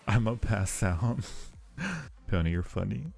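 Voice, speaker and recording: Guy streamer chatting w viewers, lowish gravelly voice, kinda halting, bit of music behind. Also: darkish tone. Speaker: streamer and audio roleplay creator. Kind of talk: casual livestream chat with viewers. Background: faint music.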